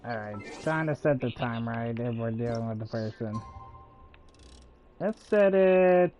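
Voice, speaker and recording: A man's voice: medium pitch, animated, a little slow, faint music behind. A man doing casual livestream commentary while he plays a video game. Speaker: gaming streamer. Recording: dull, slightly lo-fi mic.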